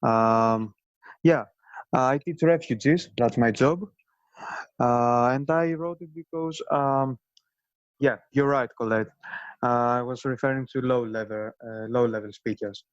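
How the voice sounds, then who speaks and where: A man's voice: medium pitch, unhurried, clean tone, dry recording, highs cut off. A man, an English teacher, answering in a webinar Q&A over a video call, hesitant with frequent uhs. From Greece. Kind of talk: casual answer in Q&A.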